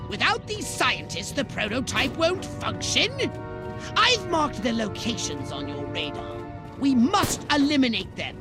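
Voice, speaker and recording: An actor voicing an imperious, scheming alien commander who gives mission orders in a video game, theatrical and commanding. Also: medium pitch. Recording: clear music behind.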